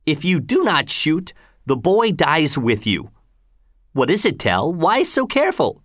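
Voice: mid-range voice, very animated, unhurried, no room sound, studio quality, voice pushed up. A narrator voicing a cruel male tyrant governor in an audiobook, mocking, commanding and falsely reasonable. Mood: stern, taunting.

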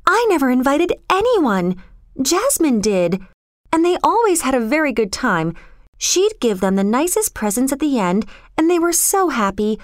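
A woman's voice: high-pitched, big pitch swings, moderate pace, no room sound, clean studio sound, pitched above the performer's own voice. A woman storyteller voicing a spoiled rich young girl, emotional and blithely callous, in a dramatic, pleading manner. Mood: breezy, defensive.

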